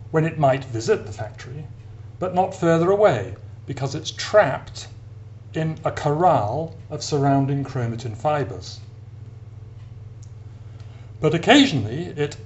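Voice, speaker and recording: A man giving an explanatory science narration as an academic lecturer, in a measured, deliberate manner with pauses between phrases. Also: medium pitch, darkish tone. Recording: clear background hiss and hum.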